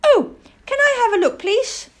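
A woman's voice: extremely high pitch, lively intonation, moderate pace, voice pushed up. A woman voicing an eager, naive little male owl chick with a chirpy, childlike, inquisitive way of talking. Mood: curious, excited.

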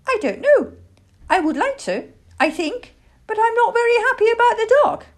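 A woman voicing an excitable, chatty young baby owl in an eager, childlike way for a storybook. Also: very high voice, normal speed, voice pushed up. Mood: anxious.

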